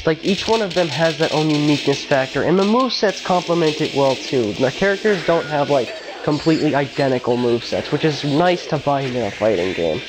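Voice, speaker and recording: A man's voice: fairly high pitch, animated, fairly quick, few pauses, background noise. A young man with a congested, stuffy voice from a cold, reading a casual, slangy, enthusiastic video game review. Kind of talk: scripted game review voice-over.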